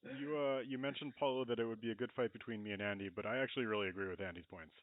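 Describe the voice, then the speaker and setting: A man with a fairly low voice talks as a podcast co-host in a casual discussion. He explains his reasoning in an informal way, peppered with "like". The sound is dry and narrow, as if over a phone. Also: steady tone, rapid-fire, dry recording. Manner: explanatory, frequent "like".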